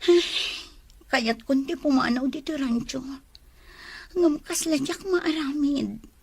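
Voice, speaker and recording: A woman acting a tender, lovelorn young rural homemaker in an audio drama, with an Ilocano accent and breathy, plaintive delivery. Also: sits high, takes it slowly, a little mellow, heavily acted. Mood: sad, confiding.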